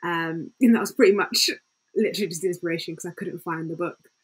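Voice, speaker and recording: A woman's voice: fairly low pitch, fast talker, husky. A young woman telling her story in a casual, informal way as a panel guest, on a headset at home. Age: young adult. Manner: informal, peppered with "like". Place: small home room, indoors. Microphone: headset on video call.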